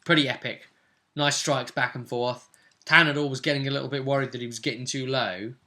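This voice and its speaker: A man's voice: medium pitch, fairly even intonation, a little fast, bright, forceful tone. A man, a game master casually recounting a tabletop role-playing session in a chatty storytelling way. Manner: chatty, conversational storytelling with tag questions.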